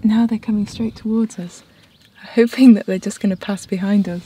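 A woman's voice: medium pitch, steady tone, moderate pace, warm tone, breathy edge. A woman from England in her thirties giving casual vlog commentary with lightly wry narration. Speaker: sailing vlogger.

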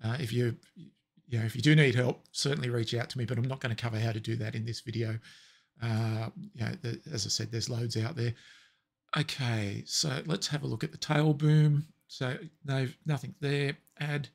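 A man with a raspy voice explaining software steps in a relaxed, step-by-step tutorial. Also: mid-range voice, moderate pace. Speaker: tutorial presenter. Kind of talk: screen-recorded software tutorial.

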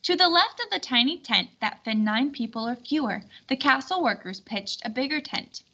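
A woman reading a children's storybook aloud in an expressive, clearly instructional way. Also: high voice, moderate pace, highs cut off. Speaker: storybook reader.

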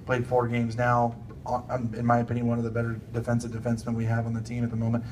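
A man's voice: medium pitch, monotone, quick pace, darkish tone. A man working as a sports reporter, putting questions at a press conference. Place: indoor press conference room. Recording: distant mic, faint room noise.

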